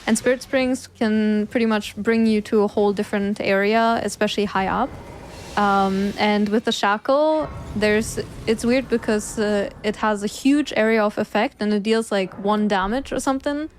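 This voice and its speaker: A woman with a German accent giving casual commentary on a video game speedrun, chatty and quick to laugh. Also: mid-range voice, slight background noise. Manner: chatty, laughs often, fillers.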